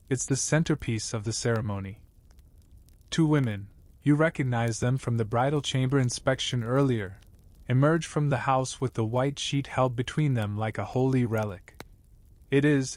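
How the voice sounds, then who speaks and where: A man narrates a scripted bedtime story in a medium-pitched voice. His delivery is measured and wry, like a storyteller addressing one listener. The sound is dry, with no room echo. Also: darkish tone.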